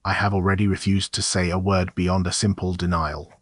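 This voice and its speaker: A man's voice: deep voice, flat delivery, fairly quick, no room sound. A man playing a dignified, anxious Victorian diplomat in an audiobook drama, stiffly formal and polite. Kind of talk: dramatised audiobook character acting.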